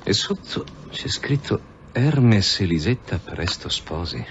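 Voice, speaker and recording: An actor playing an intent, puzzled police investigator in a radio drama. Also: male voice, lowish voice, big pitch swings, slow pace, vocal fry, heavily acted. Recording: muffled, faintly noisy radio drama.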